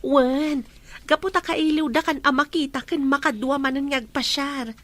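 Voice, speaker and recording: A woman's voice: high voice, fairly even intonation, normal speed, fairly bright tone. A woman with an Ilocano accent playing a sociable friend and go-between in an audio drama. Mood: friendly.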